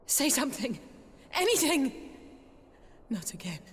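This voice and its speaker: Young heroine for fantasy game: earnest, pleading, extremely high, widely varied, long reverb. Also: female voice, sing-song, unhurried. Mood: panicked, pleading. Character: earnest young woman, emotional and desperate. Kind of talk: video game voice acting.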